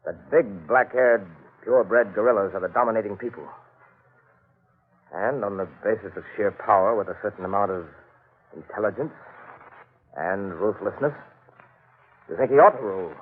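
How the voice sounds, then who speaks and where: Tinny vintage radio actor as sardonic, commanding husband. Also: male voice, lowish voice, moderate pace, long pauses, lightly acted. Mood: brooding, admiring. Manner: theatrical, emphatic delivery. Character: domineering, sardonic husband, turning frantic and protective under danger. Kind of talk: 1940s radio mystery acting. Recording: tinny band-limited old radio recording.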